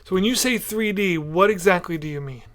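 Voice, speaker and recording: A man's voice: high voice, fairly quick, fairly bright tone. A man hosting a podcast, interviewing in a casual, chatty way.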